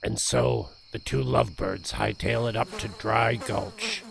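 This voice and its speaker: Man acting a gravelly, grumpy frontier Cupid. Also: on the low side, steady tone, unhurried. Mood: wry. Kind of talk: storybook western narration.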